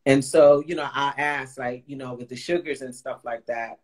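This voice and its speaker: A woman with a Guyanese accent hosting a live Q&A chat as a chef, animated and coaching, talking over a video call. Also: moderate pace. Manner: animated, coaching, jumps into high playful voices. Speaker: host, plant-based chef.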